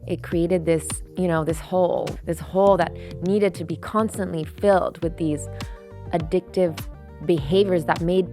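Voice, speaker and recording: A woman's voice: fairly low pitch, fairly even intonation, moderate pace, mellow, faint music behind. A woman in her thirties from France, speaking openly and reflectively as a podcast guest, with frequent fillers. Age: 30s. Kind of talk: candid interview conversation.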